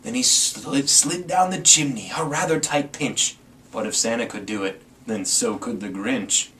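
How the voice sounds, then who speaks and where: A man's voice: mid-range voice, measured pace, sharp tone. A man giving a playful, theatrical dramatic reading of a rhyming Christmas story as the storyteller. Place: room with a green screen. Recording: distant mic, some background noise.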